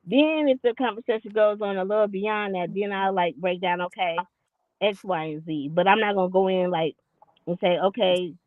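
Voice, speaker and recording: A woman's voice: mid-range voice, normal speed, dry recording, phone-quality sound. A woman in her late forties chatting candidly and loosely in a casual talk, self-deprecating and rambling as she explains herself. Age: 40s. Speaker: podcast guest. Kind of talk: casual chat.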